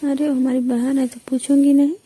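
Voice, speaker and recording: A woman's voice: sits high, little pitch movement, a little fast, warm tone, dry recording. A woman with a Bhojpuri accent having a casual, intimate chat on a phone call.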